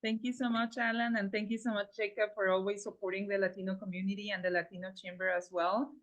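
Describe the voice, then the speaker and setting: A woman moderates a virtual training session, thanking the speakers and handing the floor to the next presenter. Her voice sits at a middle pitch and she keeps things brisk. She is heard through a video call mic. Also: level tone. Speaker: event organizer and moderator.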